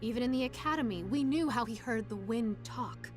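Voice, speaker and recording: The forceful, insistent young officer played by a woman pushing her troops onward in a war game cutscene, score behind. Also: fairly high pitch, a little fast, over music, lightly acted. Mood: resolute. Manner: commanding, insistent. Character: headstrong, forceful young female army officer. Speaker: game voice actor. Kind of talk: dramatic game dialogue.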